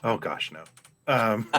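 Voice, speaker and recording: Low, bright-toned Canadian man. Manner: halting, self-deprecating, many restarts. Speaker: toy collector and writer. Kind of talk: casual panel chat.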